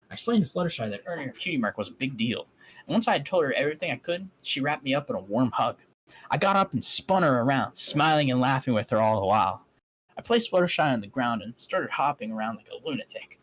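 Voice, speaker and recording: A man reads a story aloud to friends on a voice call. He keeps stopping to mock the text with dry, sarcastic remarks. His pitch stays lively and jumps around through the sentences. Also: husky, rough recording. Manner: mocking, sarcastic asides. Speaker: fan fiction reader. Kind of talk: reading aloud to a voice chat.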